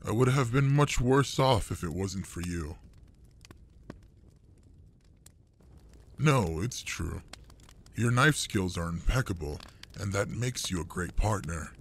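A man playing a gruff older male monster adventurer in an intimate audio roleplay, fond and teasing with a human partner. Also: sits low, animated, measured pace, full character voice. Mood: grateful.

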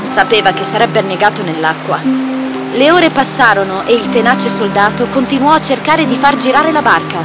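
A woman's voice: a little high, expressive, moderate pace. A woman reading a scripted children's fairy tale as its narrator. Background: loud background music.

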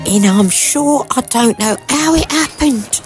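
A fussy, motherly Edwardian woman, acted by a woman with a high voice and very animated intonation. She speaks in audio drama style. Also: unhurried, over music. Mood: flustered.

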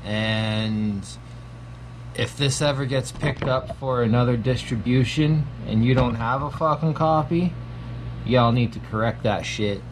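A man's voice: mid-range voice, a little slow, slight background noise, rough recording. A man in his thirties giving a casual, profanity-laced horror movie review from a small home room, with lots of ums. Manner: laid-back, swears constantly, frequent ums.

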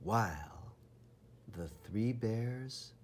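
A man reading a children's storybook aloud with enthusiastic, theatrical emphasis and dramatic pauses in a husky, breathy voice. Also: lowish voice, animated, a little mellow, slight background noise. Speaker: storybook reader. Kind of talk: children's read-aloud narration.

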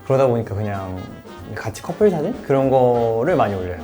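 A man's voice: mid-range voice, very animated, fairly quick, warm tone. Guy in his 30s, bouncy chatty voice, light music under. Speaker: guest.

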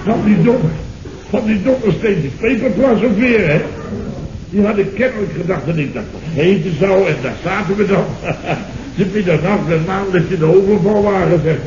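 An actor playing a blustering, indignant boss in a radio comedy, exclaiming and repeating himself. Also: male voice, high voice, normal speed, lightly acted. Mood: exasperated. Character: blustering, indignant boss recounting his misadventures. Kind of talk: 1970s radio comedy acting. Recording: muffled, noisy old radio recording, roomy.

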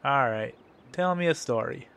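A man's voice: mid-range voice, moderate pace, vocal fry, clean tone. A man with a cold, casually commenting as he plays a game, hesitant and full of ums. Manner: relaxed, hesitant, lots of ums. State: has a cold. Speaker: let's play host.

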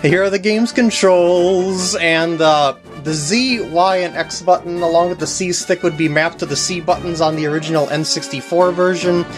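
Young man, casual gaming walkthrough commentary, halting delivery, music behind the voice. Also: high-pitched, steady tone. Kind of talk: casual unscripted game commentary.

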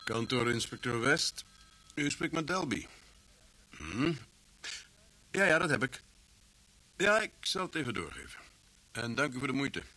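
An actor in a Dutch radio drama playing a polite, businesslike police office assistant. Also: male voice, mid-range voice, very animated, unhurried, long pauses, sharp tone, full character voice, rough recording. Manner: obliging, businesslike.

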